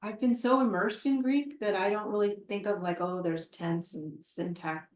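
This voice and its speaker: A woman translator answering questions at a live book talk, thoughtful and halting, with many fillers and restarts. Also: mid-range voice, darkish tone. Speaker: literary translator, interview guest. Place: event room at a literary center. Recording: roomy echo, voice from far off the mic.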